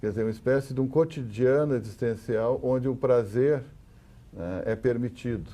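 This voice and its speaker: A man with a Brazilian accent speaking as an academic guest on a discussion panel, explaining haltingly and often repeating words. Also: mid-range voice, dark tone.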